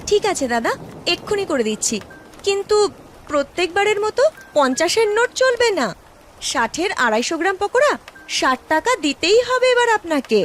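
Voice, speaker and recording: A woman acts out a kind young street seller in a village cartoon. The voice is extremely high and swings up and down in an earnest, eager sing-song. Music plays behind it. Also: very animated, normal speed. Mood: cheerful haggling. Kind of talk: cartoon story character voice.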